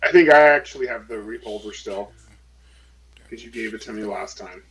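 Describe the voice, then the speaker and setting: A man's voice: lowish voice, normal speed, fairly bright tone, a little hiss or hum, low-quality recording. A man talking casually as a player in a tabletop role-playing game, describing what his character does. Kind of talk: casual chat.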